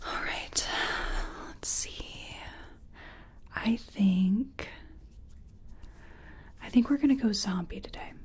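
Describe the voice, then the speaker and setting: A woman speaking in a breathy whisper and soft tones, gently chatting in an ASMR personal-attention roleplay as a makeup artist. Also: mid-range voice, slow, pauses often.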